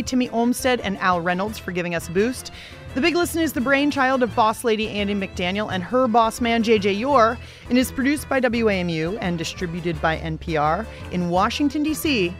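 Female American radio host, medium pitch, jokey scripted chat over a clear music bed. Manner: wry, chatty, jokey. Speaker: radio show and podcast host. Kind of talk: playful conversational scripted narration.

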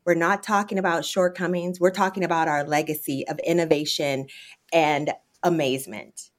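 Lower-pitched American woman answering interview questions. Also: fairly even intonation, moderate pace. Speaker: interview guest, nonprofit executive director.

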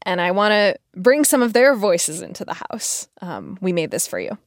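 A woman's voice: fairly low pitch, sing-song, normal speed, dry recording, studio quality. A woman podcast host speaking in a casual, heartfelt way. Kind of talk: casual heartfelt farewell talk.

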